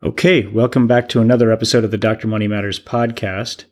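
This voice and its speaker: Low-voiced man hosting a podcast. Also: steady tone, quick pace. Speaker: podcast host, physician. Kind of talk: podcast introduction and interview.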